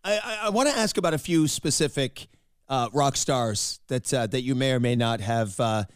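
Chatty man with a raspy cold voice. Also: mid-range voice, moderate pace, no room sound, clean studio sound. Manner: chatty, self-deprecating, laughs often. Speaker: host. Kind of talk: casual interview and narration.

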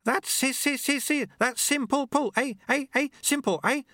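A man's voice: very high voice, moderate pace, bright, forceful tone, no echo, clean studio sound, pitched above the performer's own voice. A man voicing an eager, simple young apprentice, chirpy and deferential, forever questioning his master.

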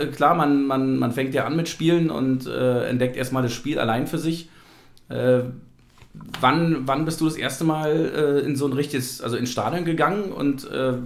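A man's voice: mid-range voice, vocal fry. A man from East Germany hosting a casual football podcast, talking in a rambling, reminiscing way. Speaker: podcast host. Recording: roomy, echoey sound.

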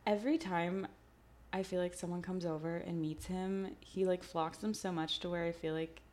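A woman hosts a relaxed podcast conversation. Her voice is on the low side. She talks in loose, chatty phrases with lots of "um" and "like.". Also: moderate pace. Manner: chatty, upbeat, lots of likes and ums. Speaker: podcast host. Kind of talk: casual podcast chat.

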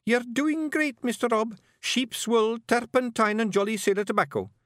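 A man voicing a small, timid young male fairy, speaking in a high, eager voice. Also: moderate pace, a bit bright, dry recording, clean studio sound.

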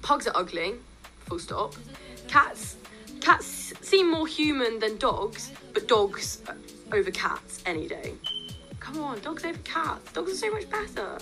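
A young woman, about twenty, hosting a student radio show in casual, jokey, opinionated chat, her voice a bit croaky. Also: high voice, sing-song, a little slow, over music. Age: young adult.

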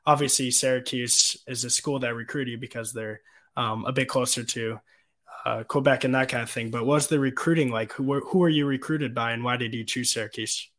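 A man's voice: medium pitch, fairly even intonation, brisk, a bit bright. A man hosting a podcast, asking long, rambling questions with plenty of "um" and "uh" in a casual tone. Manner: rambling questions with filler words. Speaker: podcast host.

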